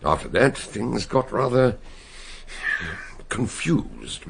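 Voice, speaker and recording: An actor playing an eccentric elderly detective in a radio drama, with a genial, learned manner and chuckling, expressive delivery. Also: male voice, mid-range voice, takes it slowly, a little hiss or hum, exaggerated voice. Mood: amused. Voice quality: gravelly, breathy voice. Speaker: amateur detective. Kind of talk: 1960s radio drama acting.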